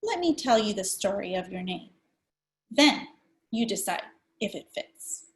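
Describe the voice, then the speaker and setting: A woman's voice: mid-range voice, sing-song, measured pace. A woman voicing a kind father in a picture book as he tells his daughter the story of her name, in a read-aloud manner. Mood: tender.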